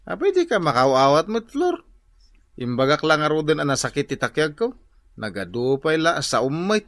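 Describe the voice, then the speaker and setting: A man's voice: fairly high pitch, very animated, normal speed, fairly bright tone. A man playing a lazy, whiny husband who makes hesitant excuses in a comedy radio drama. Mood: defensive.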